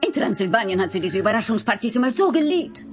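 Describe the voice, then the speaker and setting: A woman's voice: fairly high pitch, sing-song, quick pace, faint music behind. A woman playing an eager vampire mother in a children's audio drama. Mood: fond, excited.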